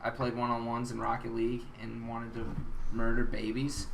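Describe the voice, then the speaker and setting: A man's voice: medium pitch, completely flat delivery, moderate pace, vocal fry. A man on a gaming podcast panel, chatting casually and swearing freely. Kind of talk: casual group chat about video games. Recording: slightly distant mic, faint room noise.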